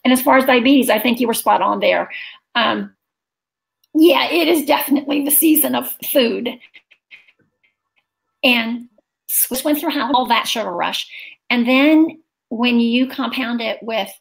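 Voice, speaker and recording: A woman's voice: a little high, measured pace, vocal fry, long pauses, rough recording. A middle-aged woman, a guest author, talking earnestly in an interview. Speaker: guest, children's book author. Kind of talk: casual interview chat.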